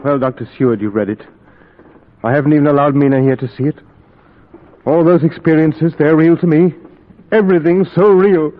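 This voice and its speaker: High-pitched, overwrought man acted in old radio. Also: fairly even intonation, a little fast, pauses often, lightly acted. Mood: anguished. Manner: overwrought, theatrical. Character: tormented, high-strung man fearing his own madness. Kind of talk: 1940s radio acting. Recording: noisy, muffled old radio recording.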